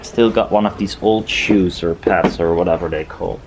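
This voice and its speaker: Deep voiced guy mumbling thru a diy job outside, kinda hesitant, lots of background noise. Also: steady tone, moderate pace. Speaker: DIY maker filming a build video. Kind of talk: casual workshop chat, thinking aloud while working. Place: outdoors in a garden. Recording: noisy outdoor garden recording.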